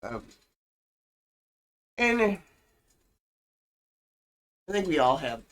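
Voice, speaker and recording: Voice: mid-range voice, big pitch swings, drawn-out words, a bit bright. A co-host of a casual home faith podcast, earnest and congested from a recent illness, reading scripture aloud. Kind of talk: casual religious chat podcast. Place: home room with pets.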